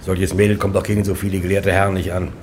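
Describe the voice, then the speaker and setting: A man's voice: low voice, little pitch movement, fast, a little mellow, natural voice. An actor playing a doubtful townsman in a crowd, grumbling his questions.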